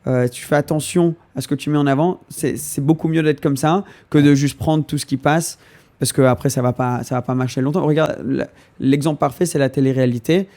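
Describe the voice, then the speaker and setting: Young man, native English speaker, mellow. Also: a little high, fairly even intonation, brisk. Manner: thoughtful, hesitant, searching for French words. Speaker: podcast guest. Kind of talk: casual podcast conversation.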